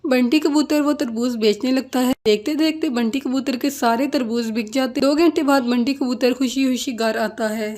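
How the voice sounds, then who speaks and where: A woman's voice: high voice, normal speed, few pauses, darkish tone. A woman reading scripted narration for a children's cartoon story.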